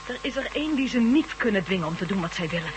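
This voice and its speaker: A woman playing a resolute woman in a radio play, speaking quietly and firmly. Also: medium pitch, expressive, fast, background noise, natural voice. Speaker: Val, a woman staying behind. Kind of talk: 1980s radio play acting.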